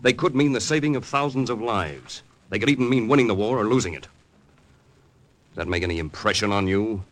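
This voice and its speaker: An actor playing a stern, patriotic American intelligence agent in earnest, moralizing 1940s radio style. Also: male voice, on the low side, brisk, natural voice. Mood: urgent, reproachful. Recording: old radio broadcast, faint noise.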